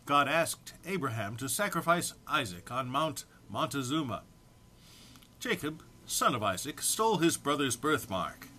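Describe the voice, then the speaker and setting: A man's voice: medium pitch, sing-song, unhurried, sharp tone, slight background noise. A man informally introducing and reading comic text aloud in a mock-solemn manner, struggling to keep from laughing. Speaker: amateur reader recording for an online post.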